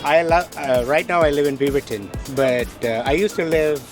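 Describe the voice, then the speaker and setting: A man from India answering a street interviewer outdoors with relaxed, brief replies. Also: on the high side, normal speed. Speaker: passerby interviewee. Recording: outdoor street recording with music bed.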